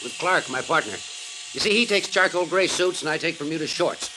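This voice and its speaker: A man playing a smooth, playful insurance broker in a 1950s radio drama, talking in an offhand, urbane way. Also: on the high side, lively intonation, normal speed, close to an everyday voice. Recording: old radio recording, faint noise.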